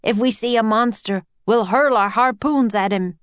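A woman's voice: a little high, moderate pace, dry recording, clean studio sound, pitched above the performer's own voice, natural voice. Woman voicing a hearty old-time sailor man. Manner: archaic nautical talk with ayes and mates. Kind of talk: children's audiobook character voice.

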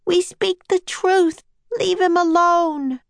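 A brave young girl from a storybook, acted out by a woman narrator, pitched very high. She is fierce and pleading, and the sound is dry. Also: steady tone, slow, vocal fry, no room sound, heavily acted. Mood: urgent, defiant.

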